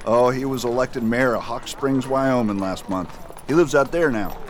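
A man's voice: medium pitch, normal speed, a little hiss or hum, natural voice. A man playing a friendly US marshal. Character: steady adult male US marshal, friendly. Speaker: US marshal Richard Wade. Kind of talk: radio drama acting.

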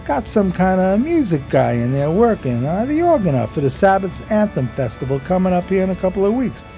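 Man acting a lively, streetwise 1920s hobo sidekick. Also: high voice, brisk, soft background music. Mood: chatty, matter-of-fact. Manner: slangy, folksy, ungrammatical street talk. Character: streetwise, simple, loyal homeless male sidekick in 1920s New York. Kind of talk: radio theater acting.

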